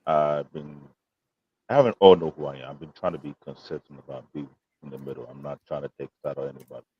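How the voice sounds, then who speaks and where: A man's voice: fairly even intonation, fast, breathy edge. A man, speaking earnestly and formally on a live panel, repeating himself for emphasis. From Nigeria. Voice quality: deep voice. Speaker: panel guest. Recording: dull muffled voice-chat audio.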